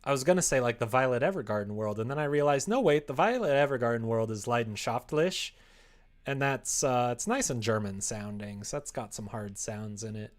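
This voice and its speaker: A man's voice: mid-range voice, lively intonation, fairly quick. A man chatting casually on a live stream, rambling and self-amused as he thinks aloud. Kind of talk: casual chat on a live creative-writing stream.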